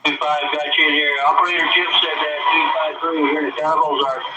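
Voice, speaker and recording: A man's voice: on the high side, level tone, fast, lo-fi sound. Distant man over very noisy radio. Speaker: amateur radio operator. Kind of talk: brief casual radio exchanges. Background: radio static and ambience.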